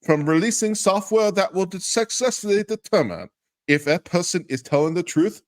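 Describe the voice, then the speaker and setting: A man's voice: high-pitched, animated, moderate pace. A man giving a dramatic, mock-grand reading of a lawsuit. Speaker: guest commentator.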